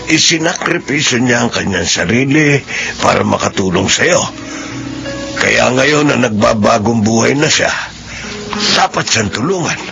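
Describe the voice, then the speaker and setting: An actor playing a middle-aged storekeeper and supportive family friend with a gravelly voice and Filipino accent in a radio drama. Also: male voice, medium pitch. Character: kindly, indignant older male storekeeper and family friend.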